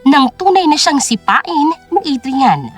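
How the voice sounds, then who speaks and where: A woman's voice: high-pitched, sing-song, a little slow, faint music behind. A woman narrating a scripted story as a dramatic audio drama.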